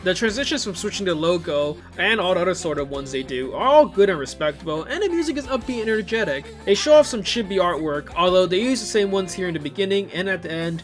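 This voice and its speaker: A man's voice: sits high, a bit bright. A man hosting a casual video commentary, conversational and opinionated, often asking rhetorical questions. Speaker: video host and reviewer. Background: upbeat music from the videos being reviewed.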